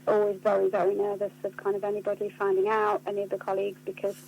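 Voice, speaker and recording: Woman doing a radio interview, pretty level pitch, earnest and articulate, bit of hum on the line. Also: completely flat delivery, fast, vocal fry, a little mellow, dull audio. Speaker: charity communications officer being interviewed. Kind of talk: spoken radio interview answers.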